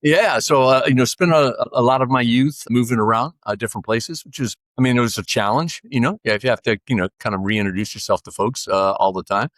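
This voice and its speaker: A man's voice: medium pitch, brisk, hardly any pauses, a bit bright, dry recording, clean studio sound. A man in his sixties, a podcast guest, talking casually and rambling with many fillers and restarts. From United States. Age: 60s. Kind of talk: casual interview conversation.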